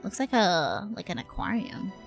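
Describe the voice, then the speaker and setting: A woman's voice: medium pitch, big pitch swings, moderate pace, vocal fry. A young woman livestreaming a game, chatting casually and slangily with viewers. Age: young adult. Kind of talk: casual chat while gaming. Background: game music.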